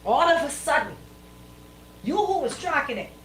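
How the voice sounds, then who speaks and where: A woman's voice: sits high, sing-song, normal speed, a bit bright, a little hiss or hum, rough recording. A woman co-hosting radio banter, opinionated and emphatic, hammering points home with repeated phrases and rhetorical questions. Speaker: radio show co-host.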